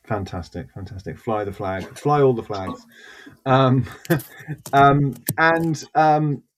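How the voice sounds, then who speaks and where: A man hosting a podcast, joking with his co-hosts and often restarting his sentences. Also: medium pitch. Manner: jokey, hesitant, many restarts. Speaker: podcast host. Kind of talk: casual podcast chat.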